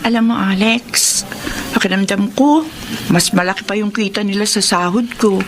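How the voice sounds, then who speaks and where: A woman's voice: mid-range voice, fairly even intonation, normal speed, close to an everyday voice. A woman playing a young, responsible, self-reliant eldest sister in a Filipino radio drama. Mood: resigned, firm. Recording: noisy radio drama recording.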